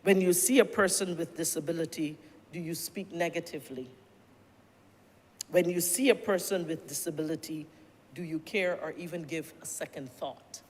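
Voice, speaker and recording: A middle-aged government minister with a Barbadian accent gives a formal, deliberate parliamentary speech, often addressing the chair and building points through repeated questions. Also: medium pitch. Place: parliament chamber. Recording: long-reverb chamber with faint noise.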